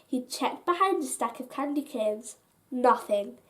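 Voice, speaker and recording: Female narrator for children's bedtime tales: high register, very measured pace, expressive and deliberate. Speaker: storyteller reading aloud.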